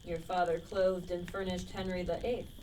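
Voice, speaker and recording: A woman's voice: on the low side, level tone, darkish tone, close to an everyday voice. A woman playing a witty, restless young male poet in a radio play, bookish and dry. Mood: sceptical. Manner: bookish, dry, quick to retort. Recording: distant microphone with clear background noise.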